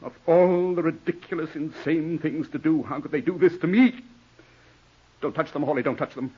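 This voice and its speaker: Imperious, husky gentleman, old radio. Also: a little high, moderate pace. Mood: shaken, commanding. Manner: imperious, sharp commands to his servant. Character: cold, haughty gentleman desperate to protect his reputation. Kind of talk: 1940s radio drama acting. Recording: muffled, dull old radio broadcast.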